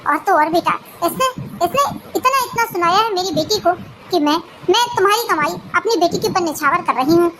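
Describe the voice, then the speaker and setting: A woman's voice: very high voice, animated, brisk, background noise. A woman voicing an aggrieved older mother-in-law in a cartoon family drama, plaintive and self-justifying.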